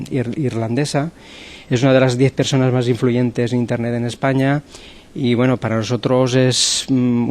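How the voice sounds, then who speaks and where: Spanish man presenting in halting phrases. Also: mid-range voice, a little mellow. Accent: Spain. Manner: hesitant, halting delivery with repeated phrases. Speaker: university event coordinator. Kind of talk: spoken presentation of an event programme.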